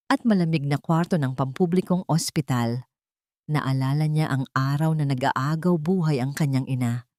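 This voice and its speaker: A woman's voice: deep voice, dark tone, no echo, studio quality. A woman reading scripted audio drama narration as a storyteller, in an earnest, emotive manner.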